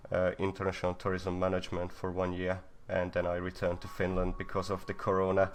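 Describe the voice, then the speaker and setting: A man's voice: low voice, completely flat delivery, fairly quick. A young man from Slovakia whose first language is Hungarian, casually introducing himself as a co-host on a live stream.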